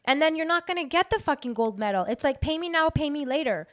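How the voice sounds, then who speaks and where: A woman's voice: high-pitched, expressive, quick pace, fairly bright tone. A woman hosting a casual podcast, who talks bluntly, swears freely and presses her guest with incredulous questions. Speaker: podcast host.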